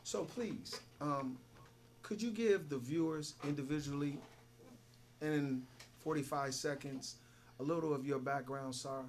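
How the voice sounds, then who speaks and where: A man's voice: a little high, measured pace. Guy hosting a business talk show, formal announcer-style intros, faint noise behind.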